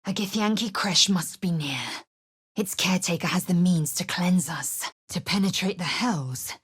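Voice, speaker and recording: A woman voicing a proud, harsh, disdainful female alien warrior in a video game, speaking in a clipped, formal, imperious way with a husky rasp. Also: fairly low pitch, normal speed. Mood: solemn. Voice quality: husky, raspy. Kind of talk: video game character voice lines.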